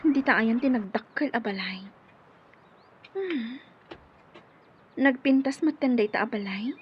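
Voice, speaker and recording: A sweet young woman in a radio drama, speaking with an Ilocano accent in a very high, lively voice. She is eager and affectionate. The recording is dull, with faint noise behind it. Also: measured pace. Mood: soft, thoughtful.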